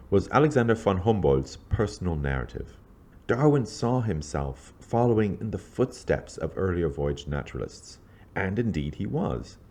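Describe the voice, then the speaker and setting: A man reading scripted historical narration in a measured, formal, scholarly manner. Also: deep voice, darkish tone, breathy edge. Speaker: lecturer reading own introduction.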